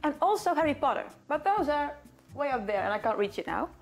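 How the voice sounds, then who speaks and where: Young dutch lady doing a casual q&a, voice on the high side, bit of music behind. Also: sing-song, brisk. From Netherlands. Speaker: violinist and video host. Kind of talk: casual Q&A to camera. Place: small living room in front of bookcases.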